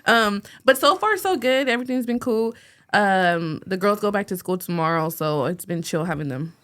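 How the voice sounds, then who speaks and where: A woman's voice: medium pitch, lively intonation, normal speed, a bit bright. Chill woman, a little stuffy with a cold. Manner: chill, laughs easily, slangy. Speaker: podcast co-host. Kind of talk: casual podcast chat.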